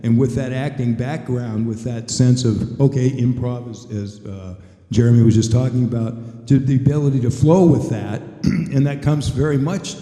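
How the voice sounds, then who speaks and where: Older guy, really dark mellow voice, wry folksy panel talk, big echoey room. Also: mid-range voice, fairly even intonation, normal speed. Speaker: veteran voice actor on a convention panel. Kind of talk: conversational advice to an audience. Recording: echoey hall, long reverb.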